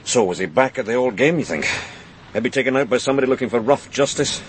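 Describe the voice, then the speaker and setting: A man's voice: medium pitch, expressive, fast talker, a little hiss or hum, natural voice. A man playing a blunt, world-weary senior police inspector in a radio crime drama. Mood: probing.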